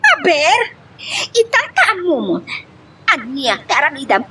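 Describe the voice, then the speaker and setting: A woman's voice: high-pitched, wildly swooping pitch, a little slow, pushed and bright, heavily acted. An actress playing a scornful, bitter woman who nags and confronts her lover in an Ilocano melodrama. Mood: bitter, annoyed. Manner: nagging, confrontational. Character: scornful, bitter female lover named Monica, demanding and fiery. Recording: faint background noise.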